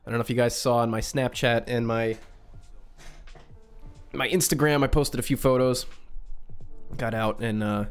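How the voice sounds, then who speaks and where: A young man from Western New York talks casually to his viewers, his mid-range voice a little raspy and congested from a lingering cold. He is chatty and relaxed, reading out the chat with friendly asides. Faint music plays behind him. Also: mid-range voice, normal speed, long pauses. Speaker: live stream host. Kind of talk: casual live stream chat.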